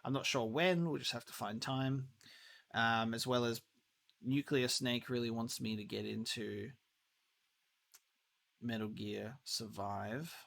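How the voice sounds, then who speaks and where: A man's voice: medium pitch, fairly even intonation, slow, vocal fry, pauses often, a bit bright. A man streaming games, chatting casually to viewers in a rambling, hesitant way as if thinking aloud. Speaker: game streamer. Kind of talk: casual livestream sign-off chat.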